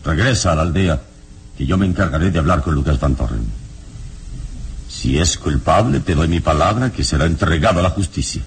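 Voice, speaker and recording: An actor playing a serene, righteous hero of a radio serial, speaking in a measured, sententious and authoritative way. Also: male voice, extremely low pitch, expressive. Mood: firm, admonishing. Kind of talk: old radio serial acting. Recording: noisy old radio serial recording.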